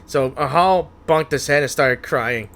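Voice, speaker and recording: A man's voice: fairly high pitch, measured pace, a bit bright. A man giving casual, playful and teasing commentary as he streams a game playthrough. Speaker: gaming streamer.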